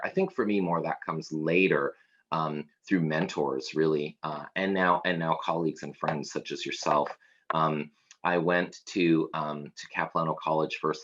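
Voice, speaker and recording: Canadian guy, really low voice, halting and thoughtful, lots of ums, muffled lo-fi call audio from his office. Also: fairly bright tone. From Scotland. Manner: halting, thoughtful, many fillers and restarts. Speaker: academic co-host. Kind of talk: reflective conversational chat. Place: campus office.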